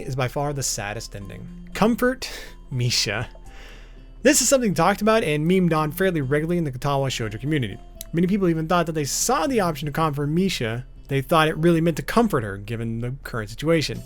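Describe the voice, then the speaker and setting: A man's voice: on the high side, very animated, a little fast, faint music behind. A man narrating an explainer video about a visual novel.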